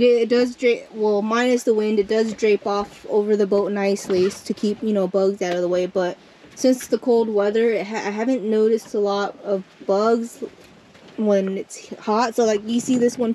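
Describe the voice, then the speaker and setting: A woman's voice: medium pitch, little pitch movement, normal speed, warm tone, slight background noise, rough recording. A woman chatting casually as a vlogger, rambling and trailing off mid-sentence, outdoors on a boat on a lake, recorded on an action camera. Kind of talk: casual vlog narration. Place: outdoors on a boat on a lake, under a mesh tarp.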